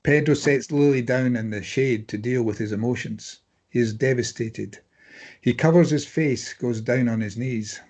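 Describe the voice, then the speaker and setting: A man's voice: mid-range voice, moderate pace, a little mellow. A man reading stage directions as narrator, in scripted dramatic present-tense narration.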